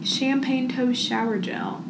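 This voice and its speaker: A young woman with a fairly high voice co-hosts a podcast, tossing in dry, playful quips. She sounds roomy, with clear background noise under her. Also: fairly even intonation, slow. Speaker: podcast co-host. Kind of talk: casual chat.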